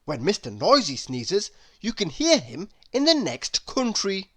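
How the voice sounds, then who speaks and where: A storybook narrator reading a children's tale aloud in an animated, expressive way. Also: mid-range voice, unhurried. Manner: animated, expressive, with emphatic sound words. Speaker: storybook reader.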